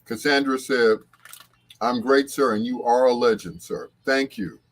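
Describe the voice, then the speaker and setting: A man's voice: medium pitch, steady tone, a little slow, slight background noise. A man hosting a casual livestream, talking to viewers in a rambling, conversational way. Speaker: livestream host.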